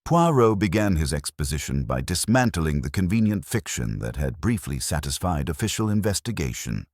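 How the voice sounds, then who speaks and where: Very deep-voiced man narrating a mystery. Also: very animated, a little mellow, no echo, clean studio sound. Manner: formal, measured storytelling. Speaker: audiobook narrator. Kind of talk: scripted dramatic mystery narration.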